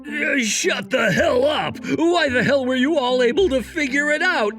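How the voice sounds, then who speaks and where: A man's voice: very high voice, lively intonation, a little slow, over music. A man voicing a petulant, arrogant disgraced executive villain in an exaggerated, indignant manga dub performance. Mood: furious. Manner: indignant, whiny outbursts.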